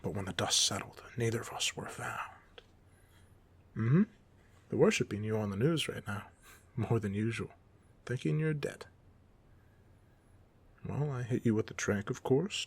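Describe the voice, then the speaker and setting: A man playing a goofy, soft-hearted supervillain off duty in an audio roleplay, talking casually with teasing, self-deprecating humor. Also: mid-range voice, creaky, breathy edge, exaggerated voice. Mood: smug, casual. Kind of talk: ASMR roleplay monologue, casual conversational acting.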